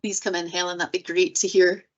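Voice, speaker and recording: A woman's voice: on the low side, level tone, fairly quick, muffled recording. A woman presenter explaining her research choices in an online seminar.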